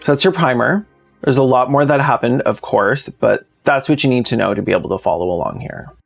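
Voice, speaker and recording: Man with a slightly creaky voice, plainspoken commentary. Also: mid-range voice, fairly quick, soft background music. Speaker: video essayist, host. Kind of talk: scripted commentary video with casual asides.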